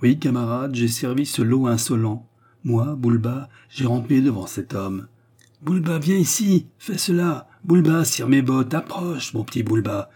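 A man's voice: medium pitch, moderate pace, warm tone, slightly rough, lightly acted. A man voicing a blind, brutal commissar in a story, vengeful, domineering and exclamatory, with sneers and rage. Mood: bitter fury.

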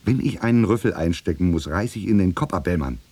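A gruff East German secret police superior in a radio play. His voice is low and recorded dry and close, with a brusque, sarcastic, sceptical way of talking. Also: lively intonation, fast talker, dark tone, no echo, full character voice. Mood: irritated, sarcastic.

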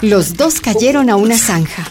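A woman's voice: a little high, animated, unhurried, a little mellow. A woman reading scripted narration for a radio drama, with music behind her.

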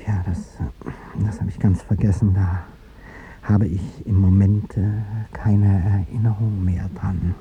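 A man's voice: deep voice, flat delivery, a little slow, a little hiss or hum. An actor playing an aging, dignified president who hides his heartbreak, speaking with hesitant, formal politeness in a radio drama. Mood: flustered, evasive. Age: older. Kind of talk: 1950s German radio drama acting. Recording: dull 1950s radio recording with music.

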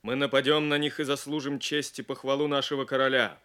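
An actor playing a resolute, valiant knight commander in an audio drama, firm and declamatory. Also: male voice, mid-range voice, little pitch movement, a little fast, sharp tone, clean tone, natural voice. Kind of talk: Soviet audio drama acting.

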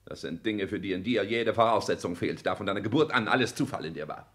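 Actor as a haughty, imperious rich son, lowish voice. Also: male voice, fast, a bit bright, lightly acted. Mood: vehement. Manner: declamatory, haughty, clipped commands. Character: arrogant, ambitious self-made rich son, cold and imperious. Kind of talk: 1960s German radio drama acting.

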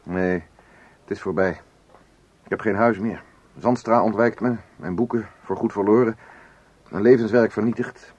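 A man's voice: fairly low pitch, normal speed, a little mellow, slightly rough, highs cut off. An actor playing a despairing man in a Dutch radio drama, defeated and full of self-reproach. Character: broken, despairing man mourning his ruined life's work.

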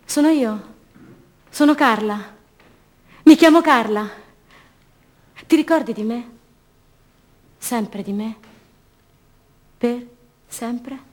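A woman's voice: on the high side, drawn-out words, long pauses, darkish tone. An actress playing a sorrowful young disappeared mother longing for her son, in short dreamlike phrases in a radio drama monologue. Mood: confused, yearning.

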